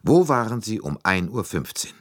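Guy doing a calm precise detective asking questions in an audiobook, polite, bit husky, animated pitch. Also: normal speed, no echo, clean studio sound. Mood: precise, questioning. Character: composed, methodical male detective, courteous and probing. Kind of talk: audiobook character dialogue.

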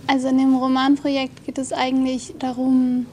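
A woman in her twenties with a high, mellow, fairly flat voice, speaking reflectively in a radio interview on location. Faint ambient noise behind her. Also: normal speed. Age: 20s. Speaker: festival intern.

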